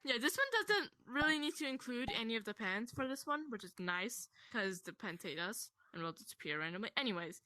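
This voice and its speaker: A young woman casually telling a personal story to a friend in a rambling, animated way, swearing freely. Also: a little high, a little fast, hardly any pauses, no room sound.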